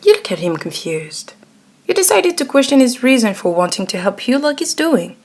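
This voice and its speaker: A woman's voice: fairly high pitch, big pitch swings, normal speed, darkish tone. A woman narrating a scripted ASMR roleplay story, addressing the listener directly. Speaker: narrator and voice actor.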